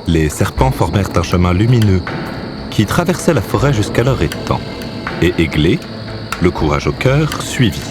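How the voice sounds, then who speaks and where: A man narrates a scripted fairy tale. His voice is deep and very varied in melody. Music plays clearly behind him. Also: sing-song, moderate pace.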